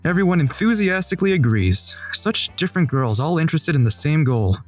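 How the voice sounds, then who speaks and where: A man's voice: on the high side, fairly quick. A man as an awkward schoolboy narrating. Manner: even, wry inner-monologue narration. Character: awkward teenage schoolboy narrating his thoughts. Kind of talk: fan dub of a visual novel. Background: faint music.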